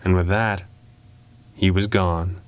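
A man's voice: low voice, steady tone, slow, clear, resonant tone, dry recording. A man narrating a scripted pulp crime story as an audiobook reader.

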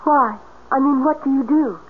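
A woman's voice: sits high, moderate pace, close to an everyday voice. A woman playing a mysterious, haunted young woman on the run, earnest and enigmatic, in 1970s radio drama style. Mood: guarded, curious. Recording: tinny, noisy 1970s radio recording.

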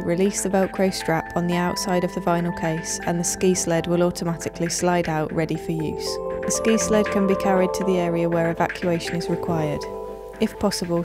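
A woman reads scripted instructional narration in a measured, formal, clearly enunciated manner. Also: on the low side, steady tone, music in the background. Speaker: narrator of a product training video.

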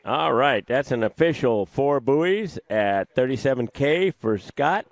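A man announcing skier passes and official scores in a measured, matter-of-fact way. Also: medium pitch, expressive, no echo. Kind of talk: live sports announcing.

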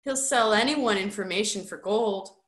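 A woman's voice: medium pitch, normal speed, roomy sound, lightly acted. A woman voicing a frightened young boy in a storybook, tearful and stammering, trying to sound fierce. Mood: miserable.

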